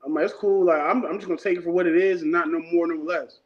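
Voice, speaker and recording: A man's voice: on the high side, steady tone, fast talker. A man in his thirties, a guest artist talking in a laid-back, rambling, slangy way in a casual interview. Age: 30s. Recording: dull lo-fi sound.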